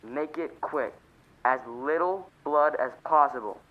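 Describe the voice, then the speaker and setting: An actor playing a cold, methodical crime boss in a suspense radio play, giving terse, businesslike orders. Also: male voice, fairly high pitch, a little slow. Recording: dull muffled telephone-line sound.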